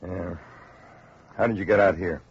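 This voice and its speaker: A procedural official in a vintage radio drama, registering refugees. The voice is low and husky, with a measured, businesslike delivery. It comes through on a muffled old broadcast. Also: male voice, steady tone, heavily acted. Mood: firm, official. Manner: measured, procedural, matter-of-fact. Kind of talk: 1940s radio drama acting.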